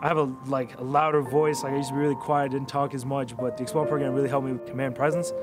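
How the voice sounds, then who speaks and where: A man's voice: medium pitch, little pitch movement, fast talker. A young man giving a casual interview testimonial about himself. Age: young adult. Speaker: youth program participant. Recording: music bed under the voice.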